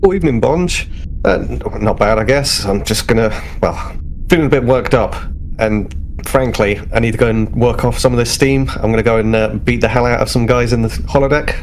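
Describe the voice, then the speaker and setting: A man's voice: mid-range voice, a little fast, slight background noise, lightly acted. A man playing a cocky, restless starship crewman in a tabletop game, talking casually and boastfully.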